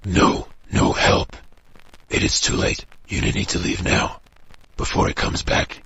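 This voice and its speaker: Man acting a hoarse, frantic wild swamp man. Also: slow, sharp tone. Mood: frantic warning. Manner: frantic, urgent warning. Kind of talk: radio drama horror acting. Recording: dull, clearly noisy recording.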